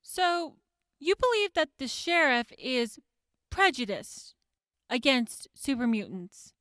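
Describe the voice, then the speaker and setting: Woman doing a courtroom prosecutor, high animated voice, slow pointed questions, skeptical. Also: no echo, studio quality, heavily acted. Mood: probing. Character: sharp, probing woman prosecutor. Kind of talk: audio drama acting.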